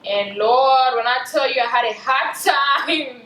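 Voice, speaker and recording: A young woman with a Cameroonian accent talks in a relaxed, conversational style. Her voice is high and sits back from the microphone in a very echoey space, with some noise around it. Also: unhurried. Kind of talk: casual chat. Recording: echoey room, distant mic, background noise.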